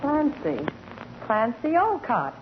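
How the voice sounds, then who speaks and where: An actress playing a dry, quick-witted woman in a 1940s radio comedy. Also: on the high side, wildly swooping pitch, exaggerated voice. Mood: knowing, prompting. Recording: muffled, noisy 1940s radio recording.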